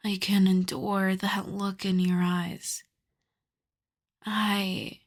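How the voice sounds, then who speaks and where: A lovesick female vampire, acted by a woman for an intimate ASMR roleplay. She speaks very slowly at a medium pitch, hushed and often slipping into whispers. Her manner is sorrowful and pleading, with a tender, possessive menace.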